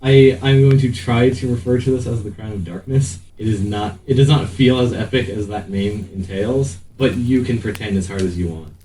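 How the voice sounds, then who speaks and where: A man's voice: on the low side, normal speed, few pauses, dark tone. A man narrating a tabletop game as game master in a casual, joking and sweary way. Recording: roomy, distant mic, faint background noise.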